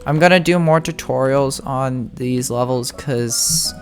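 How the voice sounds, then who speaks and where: Gamer doing a casual tutorial, mid pitched voice, kinda halting and thinking out loud, music playing behind. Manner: informal, halting, thinking aloud. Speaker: game guide video host. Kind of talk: casual gameplay tutorial commentary.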